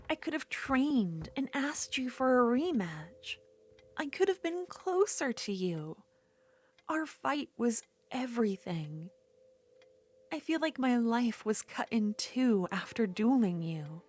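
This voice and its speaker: A woman playing an obsessive, unhinged female duelist rival in an ASMR fantasy roleplay, speaking intimately and often slipping toward a breathy whisper. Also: on the high side, heavily acted. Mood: desperate, intense.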